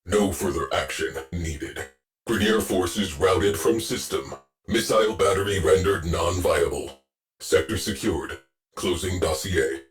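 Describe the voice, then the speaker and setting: A man voicing a terse, stoic military shipboard AI in a video game, giving mission briefings in a clipped, deadpan manner. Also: sits low. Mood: dry, satisfied.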